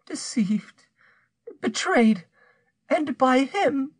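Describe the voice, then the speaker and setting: A man's voice: very high-pitched, wildly swooping pitch, a little slow, fairly bright tone, husky, no echo, clean studio sound, pitched above the performer's own voice, heavily acted. A bewildered wife in a storybook drama put on by a male narrator. She speaks in a raised, swooping voice, full of dismayed questions and wounded jealousy. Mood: shocked, betrayed. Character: bewildered, jealous, betrayed pious young wife.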